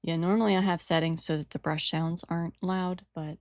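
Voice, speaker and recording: Woman, raspy, lowish voice, rambling casual chat, home desk mic. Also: steady tone, brisk, no room sound, clean studio sound. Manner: rambling, apologetic, trails off mid-sentence. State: early-morning raspiness. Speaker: hobby painter and channel host. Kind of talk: casual talk while painting a model. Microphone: new desk microphone without filters.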